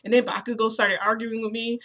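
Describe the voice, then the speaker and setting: A woman's voice: fairly low pitch, fast, fairly bright tone, lightly acted. A woman voicing an eager, starstruck teenage boy hero student in a retold anime story, chattering with gushing enthusiasm. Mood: excited, gushing.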